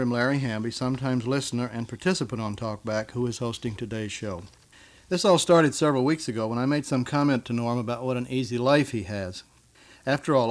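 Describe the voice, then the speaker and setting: An American man acting as guest host and interviewer in an informal radio interview. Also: medium pitch.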